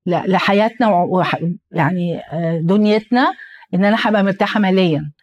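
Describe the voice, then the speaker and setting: A young adult Egyptian woman co-hosting a casual podcast, talking in an animated way with a tired, strained voice. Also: mid-range voice, fast talker, muffled recording. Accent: Egyptian Arabic. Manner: animated storyteller, quotes people in lively asides. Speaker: podcast co-host.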